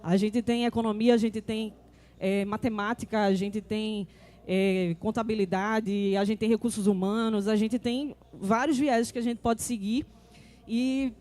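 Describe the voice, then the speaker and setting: Halting woman moderating a stage panel. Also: fairly high pitch, fairly even intonation, darkish tone. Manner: halting, many fillers and restarts. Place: conference stage before an audience.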